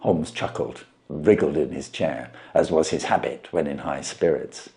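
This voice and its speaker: A man reading a classic story aloud as a narrator, in a careful, clearly articulated way for English learners. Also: extremely low pitch, measured pace, warm tone. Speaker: audiobook narrator.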